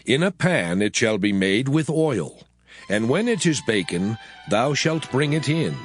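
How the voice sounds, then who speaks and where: A man reads scripture in a measured, expressive voice. His pitch moves in lively arcs on each phrase. Clear background music plays under him throughout. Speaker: scripture reader.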